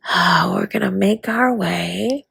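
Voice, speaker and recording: Husky, slow, flirty dragon baker spouse, played by a woman. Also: mid-range voice, fairly bright tone, no echo, exaggerated voice. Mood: flirty, tender. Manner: breathy, teasing, drawn-out, often dropping to a whisper. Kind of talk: intimate romantic audio roleplay addressed to listener.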